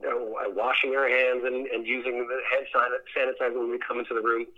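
A man's voice: mid-range voice, level tone, fast talker. A man answering interview questions as a theater director, speaking in a thoughtful, rambling way and repeating words as he searches for the next one. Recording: muffled radio or phone-call sound.

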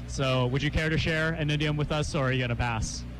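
A young man hosting a live campus radio interview, chatty and quick with asides and laughs. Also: on the high side, fairly even intonation, over music, rough recording. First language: Cantonese.

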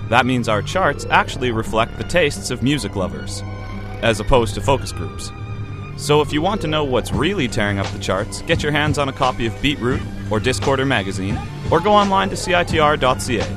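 A man's voice: mid-range voice, wildly swooping pitch, brisk. A man reading a scripted radio station promo in a wry, punchy way. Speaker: promo announcer. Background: music.

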